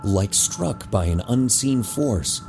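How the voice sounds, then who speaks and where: A man's voice: fairly low pitch, expressive, slow pace, gravelly, background noise, heavily acted. A man narrating a scripted teen adventure audio drama as the storyteller. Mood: measured, dramatic.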